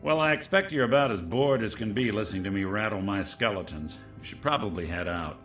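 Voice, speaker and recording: Video game robot detective, played by a man: American, middle-aged, low register, noir slang, tired decency, audible room reflections. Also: fairly bright tone, echoey room, faint music behind, lightly acted. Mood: warm, nostalgic. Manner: wry, old-time hardboiled slang, halting when confiding. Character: weary, kindhearted synth detective robot with an old cop's memories.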